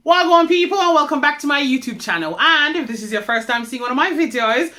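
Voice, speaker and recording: A woman's voice: high-pitched, lively intonation, fairly quick, pushed and bright. A woman hosting a fragrance review vlog, chatty and stuffy-nosed from hay fever, often checking in with viewers. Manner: chatty, addresses viewers with tag questions. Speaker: perfume reviewer, channel host.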